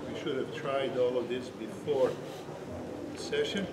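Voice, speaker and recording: A man with a Serbian accent, a native Serbian speaker, chairing and opening a conference session, halting with many fillers and restarts, on a portable mic. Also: medium pitch, steady tone. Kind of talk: conference presentation. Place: conference hall. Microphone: portable microphone. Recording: echoey hall, from far off, noisy.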